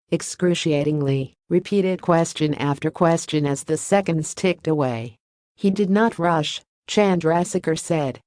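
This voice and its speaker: A woman with a notably low voice reads a news feature aloud. Her delivery is steady and even, and the sound is dry and close, with no room around it. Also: no echo. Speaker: narrator. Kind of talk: reading a news article aloud.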